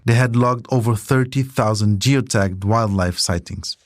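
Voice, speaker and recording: A man's voice: fairly low pitch, a little slow, no echo, clean studio sound. A man narrating a scripted documentary podcast as its storyteller.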